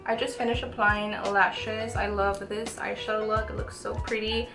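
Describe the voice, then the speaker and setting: A woman's voice: mid-range voice, little pitch movement, moderate pace. A woman in her early twenties talking informally through a makeup tutorial, chatty and thinking aloud as she goes. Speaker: beauty vlogger. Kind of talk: casual makeup tutorial chat. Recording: roomy echo with background music.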